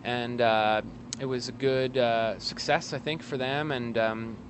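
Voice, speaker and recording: A man giving a casual interview as a guest, speaking in a hesitant, halting way with wry asides, outdoors in an alley. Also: medium pitch, fairly even intonation. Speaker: guest, actor and playwright. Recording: street noise, traffic outdoors.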